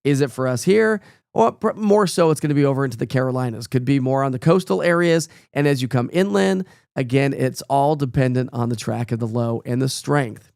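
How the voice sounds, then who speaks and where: Gravelly-voiced weatherman fighting a cold. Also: mid-range voice, fairly quick, a little mellow, no room sound, studio quality. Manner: chatty, folksy, rambling with fillers. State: losing his voice, has a cold. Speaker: meteorologist. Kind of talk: casual live weather forecast.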